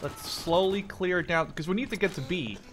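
A young man with a slightly high voice commentates casually on his own gameplay. He rambles excitably and swears freely. Clear gunfire and game noise sit behind his voice. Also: moderate pace. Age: 20s. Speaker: video game streamer. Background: video game gunfire.

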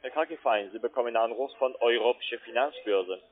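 A man's voice: mid-range voice, fast talker. A man with a foreign accent in German, a non-native speaker making a scripted call-centre sales call. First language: not German. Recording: tinny narrowband phone line.

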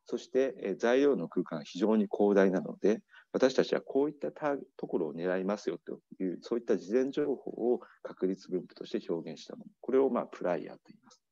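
Deep-voiced man giving a measured lecture. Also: animated, warm tone, dry recording. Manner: measured, frequent fillers and pauses. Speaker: keynote speaker. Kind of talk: academic conference lecture. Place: indoor room, video talk over slides. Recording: dull muffled remote talk audio.

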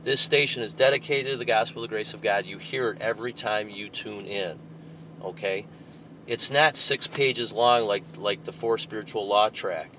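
Emphatic preacher man with a bright voice. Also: moderate pace, slight background noise, rough recording. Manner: emphatic, scolding, shifts between loud bursts and low asides. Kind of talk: fiery sermon reading and rebutting a tract.

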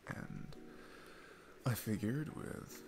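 A man playing a shy, sweet single dad smitten with his babysitter, speaking gently and haltingly in a romantic ASMR roleplay. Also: noisy background. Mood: flustered, shy.

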